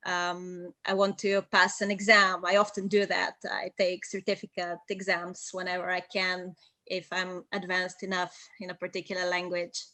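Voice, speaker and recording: A woman's voice: medium pitch, a bit bright, dry recording. Bulgarian-native woman, young translator, methodical interview guest, dry close recording. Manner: measured, methodical, with frequent ums. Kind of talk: interview, giving advice.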